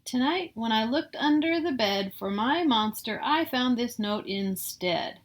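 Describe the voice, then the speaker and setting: Middle-aged American woman reading, higher-pitched voice. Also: expressive, measured pace. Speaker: storybook reader. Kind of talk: read-aloud picture book narration with casual asides. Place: small room at home.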